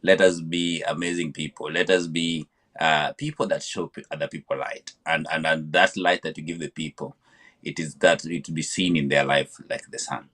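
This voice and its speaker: Deep-voiced Kenyan man, halting speech. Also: steady tone, a bit bright. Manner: hesitant, many fillers and repeated words. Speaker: podcast guest. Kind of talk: conversational interview answer.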